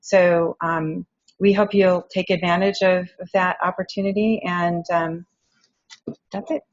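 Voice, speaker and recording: A woman wraps up a conference panel talk in a plain, explanatory way. Her voice sits at a middle pitch. The recording is dull and lo-fi. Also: fairly even intonation, unhurried. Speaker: panel presenter. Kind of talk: presenting at a conference.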